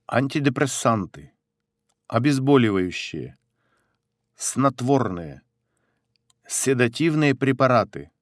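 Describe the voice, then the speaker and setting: Slow, measured male phrasebook reader. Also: mid-range voice, dry recording, clean studio sound. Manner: measured, neutral, pauses between phrases. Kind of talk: slow, clearly spaced phrasebook reading.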